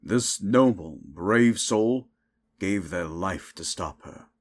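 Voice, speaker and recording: A man playing a dignified superhero guild leader in an audio drama interview, speaking in a grave, measured, official way. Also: low voice, animated, heavily acted. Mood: grave, formal.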